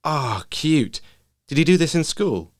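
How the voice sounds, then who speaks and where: A man's voice: on the high side, very animated, unhurried, dry recording, clean studio sound, voice pushed up. A man voicing an exasperated, bewildered woman, a parent who questions insistently, in a story reading. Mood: curious.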